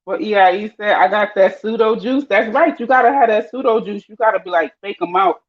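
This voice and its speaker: Panamanian lady cooking and chatting live, slangy, gets loud and squeaky when hyped, muffled sound. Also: fairly quick. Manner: lively, chatty, slangy, swings high when excited. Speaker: vlogger. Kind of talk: casual live-stream chat while cooking. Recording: dull lo-fi audio.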